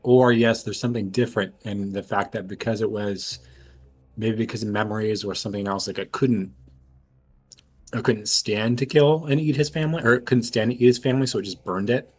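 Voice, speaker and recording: Casting: male game player, lowish pitch, rapid casual talk over faint background noise. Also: darkish tone. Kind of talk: casual discussion.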